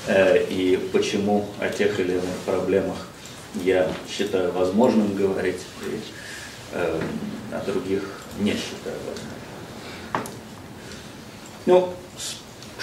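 A middle-aged man speaks from a table in a seminar room, his lowish voice steady and unhurried. He pauses often on drawn-out hesitations and drops in English phrases. He is picked up from across an echoey room, with a faint hiss underneath. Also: far from the mic. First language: Russian. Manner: measured, frequent "э" hesitations, mixes in English phrases. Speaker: visiting lecturer. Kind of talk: seminar lecture. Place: seminar room, seated at table before a projected slide. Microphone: distant room mic, speaker seated across the room.

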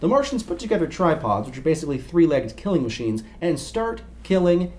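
A man's voice: fairly high pitch, sing-song, brisk, a little mellow, a little hiss or hum. A young man co-hosting a scripted explainer, narrating as he presents. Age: young adult. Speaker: co-host.

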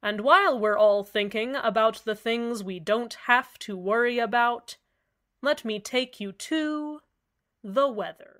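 A woman playing a cheerful radio host with an eerily calm, sweet manner. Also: fairly high pitch, a little slow. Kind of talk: scripted radio drama narration.

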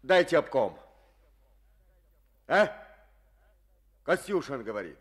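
An actor playing a blustering, wounded party official who pleads and grumbles in an old radio drama. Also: male voice, medium pitch, sing-song, takes it slowly, slightly rough, exaggerated voice. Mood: pleading. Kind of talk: 1950s Soviet radio drama acting.

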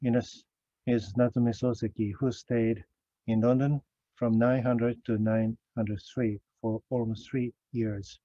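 A man's voice: medium pitch, steady tone, mellow, no room sound. Man reading a conference talk, lots of uhs and restarts, dry muffled sound. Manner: slow, careful, with frequent hesitations and self-corrections. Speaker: academic speaker. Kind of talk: recorded conference lecture.

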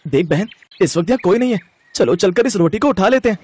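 A woman's voice: mid-range voice, animated, fast, darkish tone, breathy edge. A woman voicing a poor, hungry little village boy in a storybook tale, childlike and eager.

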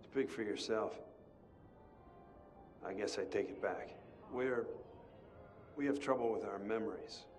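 A man's voice: on the low side, a little slow, pauses often, over music, lightly acted. An actor playing a jaded, restless male asylum patient in a video game, with an American accent and sardonic, old-fashioned slangy wisecracks.

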